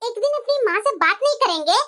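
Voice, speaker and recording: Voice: very high-pitched, lively intonation, fast talker, sharp tone, heavily acted. A performer voicing a defiant, aggrieved young daughter-in-law in a family cartoon, with pointed, indignant emphasis. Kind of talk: Hindi family moral-story cartoon voice acting.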